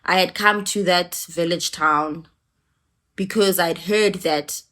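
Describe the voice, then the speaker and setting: Unhurried woman vlogger. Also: fairly low pitch, a bit bright. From South Africa. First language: isiXhosa. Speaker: travel vlogger. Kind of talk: reflective personal account.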